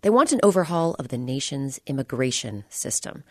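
A woman's voice: very deep voice, big pitch swings, brisk, a little mellow, dry recording, clean studio sound. A woman hosting a radio show, reading a scripted introduction. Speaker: host.

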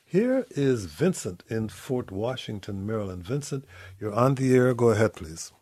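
A man hosting a radio call-in show, reading questions and phone numbers in a measured way. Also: medium pitch, very animated, mellow, no echo. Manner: measured, gives clear announcements. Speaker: radio talk show host. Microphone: studio mic.

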